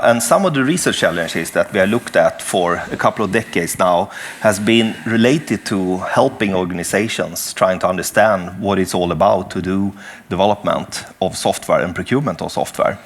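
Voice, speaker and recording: A Swedish man in his fifties speaking at a conference panel, his voice fairly low. He explains in a measured academic way, pausing often on small fillers. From Sweden. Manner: measured, explanatory, with frequent uh pauses. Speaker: professor and panelist. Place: conference breakout room.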